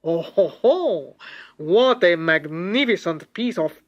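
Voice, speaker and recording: A woman voicing hearty, jolly men in a storybook, with a booming, exclamatory delivery. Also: lively intonation, slow pace, muffled recording.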